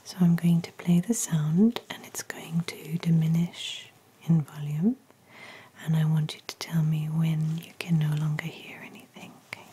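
A woman in her thirties whispering slow, gentle instructions as she plays an examiner in an ASMR roleplay, recorded close to the mic in a small room indoors. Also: low-pitched, flat delivery, a little hiss or hum. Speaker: ASMR artist playing an ear examiner. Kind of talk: ASMR medical exam roleplay. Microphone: close-up microphone.

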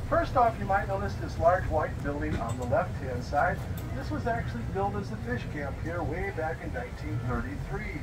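High-voiced folksy male tour guide, noisy boat. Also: sing-song, moderate pace. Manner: folksy, addressing the group. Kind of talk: guided tour narration to passengers. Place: outdoors on an open-sided tour boat. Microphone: picked up from afar by a passenger's camera. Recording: distant, loud boat and outdoor noise. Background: loud boat engine and ambience.

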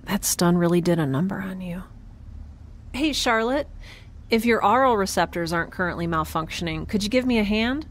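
A woman's voice: medium pitch, animated, moderate pace, close to an everyday voice. A woman playing a chatty, self-doubting young spacefarer in an audio drama, with a casual, hesitant delivery. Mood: anxious, apologetic. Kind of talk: naturalistic audio drama acting. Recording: faint background noise.

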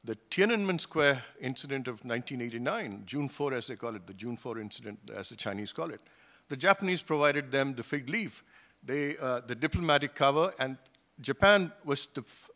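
A man in his sixties from Singapore, speaking at a conference panel table into a gooseneck mic, measured, with frequent fillers and restarts. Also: medium pitch, expressive, bright, forceful tone. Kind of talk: academic panel talk.

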